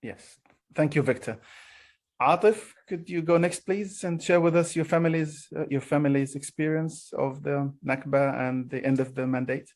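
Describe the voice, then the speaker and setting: A man's voice: on the high side, steady tone, normal speed, darkish tone. A man chairing a panel discussion, polite and a bit hesitant, with fillers. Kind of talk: panel moderation.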